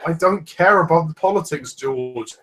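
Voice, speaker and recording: A man's voice: fairly high pitch, normal speed, a bit bright. A man giving casual, sarcastic fan commentary over a movie, blunt and given to swearing.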